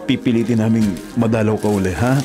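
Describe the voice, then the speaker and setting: An actor playing a devoted, burdened eldest son, hesitant and earnest, in a Filipino radio drama. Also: male voice, lowish voice, fairly even intonation, fast, close to an everyday voice. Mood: pleading. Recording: loud music bed behind voice.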